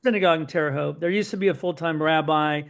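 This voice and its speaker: A middle-aged man, his voice sitting a touch high for a man. He tells long family anecdotes in a lively, rambling way, stacking one aside on another. His pitch rises and falls a lot as he talks. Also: fast, gravelly. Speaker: podcast guest, son of Holocaust survivors. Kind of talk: storytelling in an interview.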